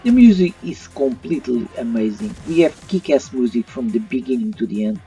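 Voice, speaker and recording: Animated non-native English-speaking man reviewing, mellow voice. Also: mid-range voice, brisk. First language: not English. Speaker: host of a retro gaming video channel. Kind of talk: opinionated video review narration. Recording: distant mic, faint music underneath.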